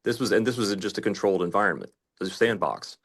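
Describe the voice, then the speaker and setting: A man's voice: fairly low pitch, animated, quick pace, fairly bright tone, slightly rough. A man arguing his points as a juror in a discussion, in an emphatic, insistent, legalistic manner. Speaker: juror in a mock trial debate. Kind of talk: argumentative discussion.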